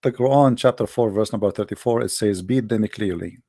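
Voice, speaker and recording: Arabic-accented male host, gravelly and dark-toned, emphatic delivery, very dry sound. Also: fairly low pitch, fairly quick, no echo. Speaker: host taking calls. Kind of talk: call-in debate show.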